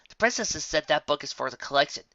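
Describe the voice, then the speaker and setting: A man's voice: a little high, expressive, fast, bright, forceful tone, no room sound, dull audio. A man voicing a smug young male dragon assistant in a storybook reading, sardonic and chiding.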